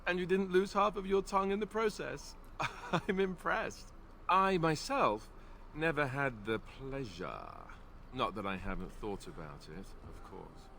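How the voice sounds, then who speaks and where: A man's voice: a little high, lively intonation, a little slow, gravelly, sharp tone, slight background noise, exaggerated voice. An actor voicing a young male warrior in a video game, who is joking and self-deprecating with a dry, wry humor. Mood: teasing.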